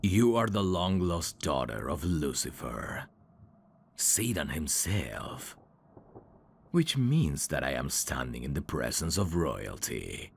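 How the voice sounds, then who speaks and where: A man voicing a sly demon servant in an ASMR audio drama, courtly and theatrical with irreverent, sardonic asides and a very deep, gravelly voice. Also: big pitch swings, slow pace, slight background noise, full character voice. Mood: reverent, courtly.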